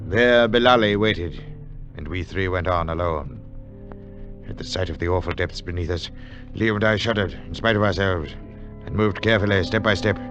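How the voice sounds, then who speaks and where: Actor as deep-voiced earnest adventurer narrator. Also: male voice, natural voice. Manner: earnest, dramatic. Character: male scholarly adventurer narrating, sceptical and awestruck. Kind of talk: 1940s radio drama acting and storytelling. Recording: dull 1940s radio recording with music.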